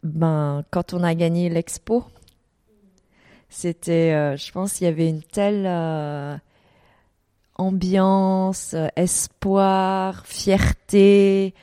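A woman's voice: fairly low pitch, level tone, extremely slow, mellow, clean tone, dry recording, clean studio sound. A middle-aged woman from Vietnam answering interview questions about her events business, hesitating often with fillers and restarts. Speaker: desert events business owner.

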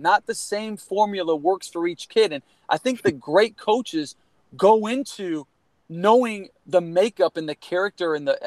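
A man's voice: fairly high pitch, no room sound. A man around forty from Connecticut, telling a personal story in an earnest, rambling way, full of restarts and "you know.". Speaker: guest, former college baseball player. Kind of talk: candid storytelling in a podcast conversation.

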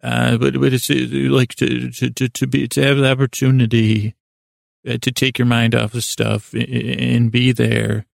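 A man hosting a sleep podcast, talking in a gentle, meandering, halting way that keeps interrupting itself, close on the microphone. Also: mid-range voice, little pitch movement, no echo. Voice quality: creaky, gravelly. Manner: meandering, halting, self-interrupting with false starts. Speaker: podcast host. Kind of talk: rambling bedtime monologue to help listeners sleep.

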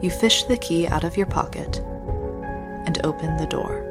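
An actress playing an unseen calm guide, narrating an audio drama in the second person. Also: female voice, on the low side, a little slow, loud music behind the voice, close to an everyday voice. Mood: calm, measured.

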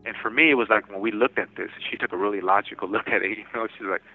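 Man, 40s, low voice, halting speech, tinny phone line. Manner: halting, frequent restarts and repeated words. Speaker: interviewee in prison. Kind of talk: casual phone interview.

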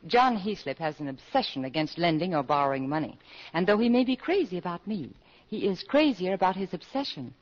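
A woman's voice: fairly low pitch, expressive, fairly quick. A poised middle-aged widow from a 1940s radio comedy, genteel and coaxing. Her intonation swings up and down with fluttery ease. The sound is the muffled one of a vintage broadcast. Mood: calm, confiding. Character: poised, scheming widowed mother of a college girl.

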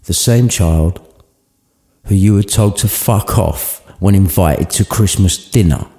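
A man voicing a childlike male Christmas spirit, a calm, sassy and knowing guide, in an irreverent storybook retelling. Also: sits low, takes it slowly, voice pushed down. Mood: solemn.

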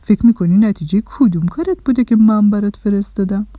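A woman's voice: medium pitch, dark tone, no echo, muffled recording, close to an everyday voice. Woman voicing a busy, self-important tiny storybook man. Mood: patient, instructive. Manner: explanatory, emphatic. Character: funny, tiny, busy, self-important male keeper of consequences.

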